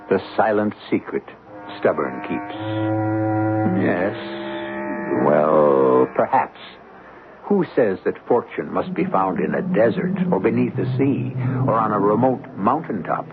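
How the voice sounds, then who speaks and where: Male mystery-anthology host, low, expressive, portentous, music underneath, narrow vintage broadcast sound. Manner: measured, rhetorical, portentous. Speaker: radio drama host. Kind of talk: theatrical scripted narration. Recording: tinny old radio broadcast.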